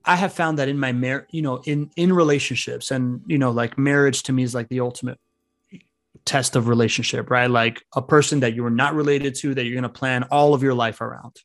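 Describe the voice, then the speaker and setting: A man's voice: medium pitch, steady tone, a little fast, no room sound. Eager, rambling man telling stories. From Venezuelan family, grew up outside Venezuela. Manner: eager, rambling storyteller with many restarts. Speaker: podcast guest. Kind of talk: casual interview chat.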